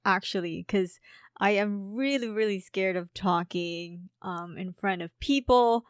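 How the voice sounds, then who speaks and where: A woman's voice: mid-range voice, a little slow, clear, resonant tone, no room sound, clean studio sound. A woman with a Filipino accent, co-hosting a casual podcast in English as a second language, hesitant and searching for words. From Philippines. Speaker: podcast co-host.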